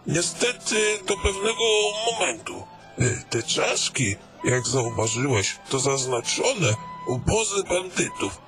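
A man's voice: mid-range voice, sing-song, slow. A man playing a fussy, chatty old fantasy mapmaker in an audio drama, hesitating and stammering as he mumbles along. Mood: chatty, explaining. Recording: background music under the voice.

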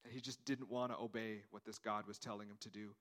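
A man's voice: on the low side, level tone, quick pace, fairly bright tone. A man preaching a sermon in a conversational way, acting out the dialogue of the story and often repeating words as he goes. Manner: repeats words, restarts phrases. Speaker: pastor.

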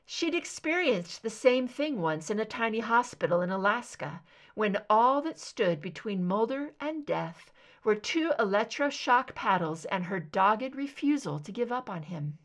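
A woman's voice: medium pitch, sing-song, unhurried. A woman reading a scripted story aloud as an amateur audiobook narrator.

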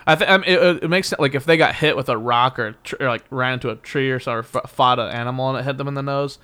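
A man's voice: medium pitch, fast, pushed and bright, smooth. A young man from Kansas with a slight Midwestern twang, hosting a podcast in a rambling, joking way full of restarts. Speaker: podcast host. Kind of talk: casual chat.

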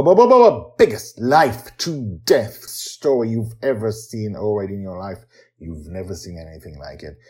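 A man with a lowish voice hosts a storytelling podcast. His delivery is dramatic and emphatic, with very wide swings in pitch. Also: a little mellow. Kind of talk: expressive storytelling and podcast narration.

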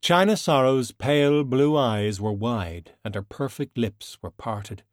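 A man narrating a novel in a measured, descriptive storytelling manner. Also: mid-range voice, no room sound, clean studio sound. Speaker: audiobook narrator. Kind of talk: scripted literary narration. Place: dry studio.

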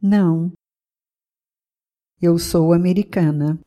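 A woman from Brazil hosting a podcast as a language teacher, reading a scripted bilingual lesson with careful, deliberate enunciation for learners. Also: lowish voice, steady tone, dark tone.

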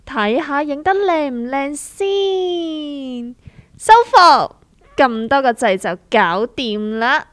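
A woman's voice: high-pitched, lively intonation, takes it slowly, background noise. A woman with a Hong Kong accent playing a self-assured, trend-chasing small investor who explains things showily in a comic radio drama. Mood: showing off.

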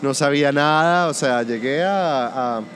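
A man from Colombia with a Colombian-Venezuelan border accent, a music producer talking through his work in a relaxed interview, pausing and restarting his sentences. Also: fairly high pitch. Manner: thoughtful, restarts often. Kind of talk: casual interview conversation.

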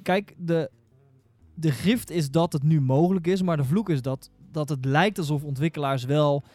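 A man's voice: a little high, normal speed, warm tone, no room sound, faint music behind. A man chatting casually as a podcast panelist, hesitant and informal, often restarting his phrases. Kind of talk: casual chat.

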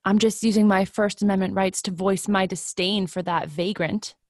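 An indignant woman commuter, acted in a script reading. Her mid-pitched voice is scornful and affronted, quick to take offence. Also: steady tone, darkish tone, natural voice. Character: irate, indignant female ferry commuter. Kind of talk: screenplay table read.

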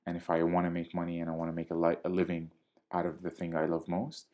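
A young man from Syria, a DJ speaking in a podcast interview with a measured, articulate and diplomatic manner. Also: sits low, completely flat delivery, darkish tone. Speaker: DJ and guest.

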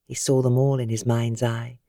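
Expressive British woman narrating, intimate dry sound. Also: rumbling low, no echo. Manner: measured, expressive storytelling. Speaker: audiobook narrator. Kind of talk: dramatic scripted fiction narration.

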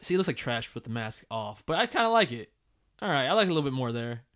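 A man's voice: medium pitch, sing-song, fast, a bit bright. A man co-hosting a casual podcast, reading listener emails aloud and joking, often laughing. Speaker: podcast co-host.

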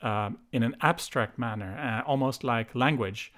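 A man's voice: medium pitch, measured pace, a bit bright. A middle-aged Dutch man speaking English as a guest in a casual chat, hesitant and full of ums and uhs. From Netherlands.

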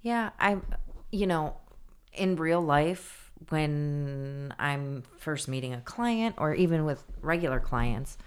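Low-voiced playful woman on a podcast. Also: animated, slow pace, clean tone. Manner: playful, sarcastic, talks in bits and half-sentences. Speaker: podcast co-host. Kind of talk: casual chat.

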